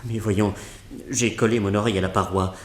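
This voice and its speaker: A man's voice: lowish voice, fairly even intonation, fairly quick, lightly acted. A man playing a jittery museum director, roomy sound. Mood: worried. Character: nervous excitable male museum director. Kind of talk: radio drama acting.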